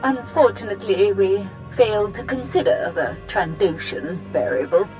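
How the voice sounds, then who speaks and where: An actress playing an earnest, apologetic scientist in a science fiction game, with careful, formal, technical phrasing. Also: female voice, medium pitch, lively intonation, normal speed, darkish tone, soft background music, natural voice, poor audio. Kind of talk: video game cutscene acting.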